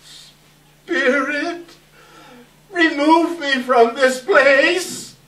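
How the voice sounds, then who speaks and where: A man playing an anguished, timid old miser in a halting, dramatic stage reading. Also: extremely high pitch, pushed and bright, exaggerated voice. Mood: anguished pleading. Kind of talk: readers theater stage reading. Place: indoor live event, distant microphone. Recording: echoey hall from far off.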